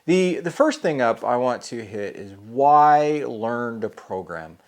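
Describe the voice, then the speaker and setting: A man's voice: medium pitch, animated, slow pace. A middle-aged man, hosting a solo podcast and thinking aloud, with many fillers and restarts. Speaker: podcast host; programming teacher. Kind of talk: casual solo podcast rambling.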